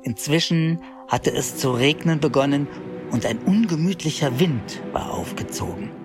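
A man's voice: a little high, lively intonation, normal speed, music in the background. A narrator telling his journey in an earnest, humble way, scripted for a radio drama.

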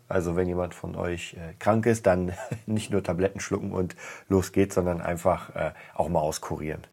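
Husky, low-voiced man with a cold. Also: a little mellow. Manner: chatty, repeats words for emphasis. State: has a cold, stuffy nose. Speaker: podcast host. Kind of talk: casual weekly update monologue.

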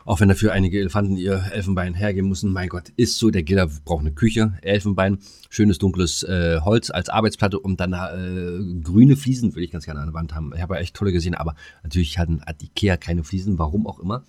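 Low-voiced man, blunt and casual. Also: brisk, a little mellow, no room sound, clean studio sound. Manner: blunt, colloquial, sometimes talks while eating. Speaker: podcast co-host. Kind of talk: casual podcast chat.

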